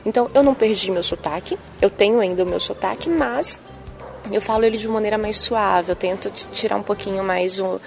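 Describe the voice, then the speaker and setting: A young adult woman journalist with a soft Bahian accent, answering interview questions in a sing-song manner with frequent tag questions. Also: medium pitch, fairly quick, vocal fry, soft background music. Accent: Bahian Brazilian Portuguese. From Bahia, Brazil. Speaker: TV journalist and presenter.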